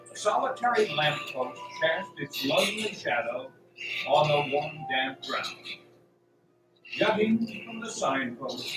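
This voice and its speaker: A man narrates a radio drama with theatrical suspense, in a slightly high voice. He speaks slowly and deliberately. He sounds set back in an echoey room, with music clearly behind him. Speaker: narrator and announcer of a radio play. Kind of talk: scripted dramatic storytelling narration. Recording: roomy, distant, music behind.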